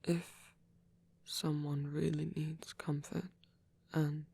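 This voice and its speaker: A man voicing a gentle, protective vampire priest in an ASMR roleplay, speaking softly and breathily in halting, earnest, tender confession. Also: fairly high pitch, little pitch movement, heavily acted. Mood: careful, earnest. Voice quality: breathy, whispery, soft. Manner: hesitant, halting, earnest delivery. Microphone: close microphone, dry sound.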